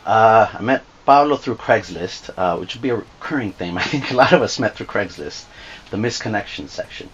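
A man from Chile, a bass player, speaking in an easygoing, casual way in a music studio. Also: lively intonation, fairly quick, lo-fi sound. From Santiago, Chile. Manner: easygoing, laughing. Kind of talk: casual interview talk.

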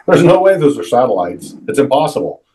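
A man's voice: medium pitch, big pitch swings, fairly quick, a little mellow. A middle-aged man, an interviewed pilot, answering emphatically and restarting his words.

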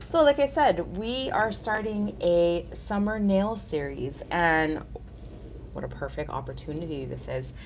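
A woman's voice: fairly low pitch, unhurried, slight background noise. A woman in her thirties hosting a casual live nail art tutorial, chatty and upbeat, sounding nasal from a cold.

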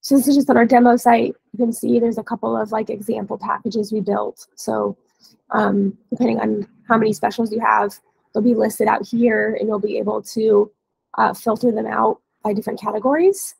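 Female webinar trainer, thirties. Scratchy, heavily creaky voice with a cold, even pitch, informal and explanatory, close headset sound. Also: moderate pace, no echo. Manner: casual, explanatory. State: hoarse from a cold. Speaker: webinar trainer, dealer support staff. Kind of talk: informal software training walkthrough. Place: indoor room, on a video call.

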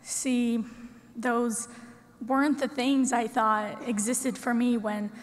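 A sixteen-year-old girl from Russia giving an earnest, prepared speech at a podium in a large conference hall, with deliberate dramatic pauses. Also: fairly high pitch, level tone. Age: teens. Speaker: student speaker. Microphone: podium microphone. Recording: long hall reverb.

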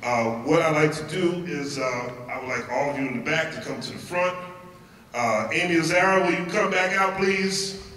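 A man's voice: fairly high pitch, normal speed, sharp tone, a little hiss or hum. A man directing a big band, speaking to a concert audience on a handheld mic, emphatic and chatty with fillers. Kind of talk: stage announcement to a concert audience. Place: large wooden concert hall stage. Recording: echoey hall, recorded from far off.

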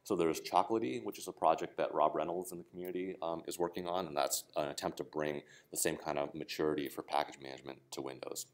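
Very deep-voiced man giving a tech talk. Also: fairly even intonation, fast talker, few pauses. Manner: casual, explanatory, frequent "right?" and "um". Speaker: conference speaker. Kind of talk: technical conference talk. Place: conference room.

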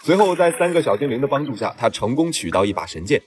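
A man's voice: medium pitch, sing-song, quick pace, a little mellow, husky. A man narrating a film recap in a scripted storytelling style, as the regular narrator.

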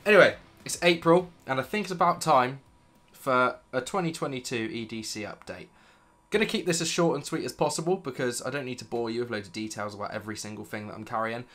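A British man in his thirties with a croaky voice from illness, chatting enthusiastically about his gear to camera in a home studio. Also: mid-range voice, quick pace, fairly bright tone. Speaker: vlogger. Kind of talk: casual gear review to camera. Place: dim home studio room, indoors.

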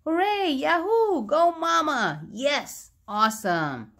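Woman voicing high, shouting storybook bears. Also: big pitch swings, slight background noise, heavily acted. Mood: jubilant. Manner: loud, drawn-out rallying shouts. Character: excited crowd of cartoon bears, cheering and shouting demands.

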